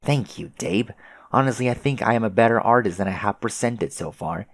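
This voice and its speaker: A man's voice: lowish voice, lively intonation, brisk, dry recording, studio quality. A man acting a teasing, pushy alien troll girl. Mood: eager, insistent. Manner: taunting, theatrically emphatic, formal wordy phrasing. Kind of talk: fan comic dub dialogue.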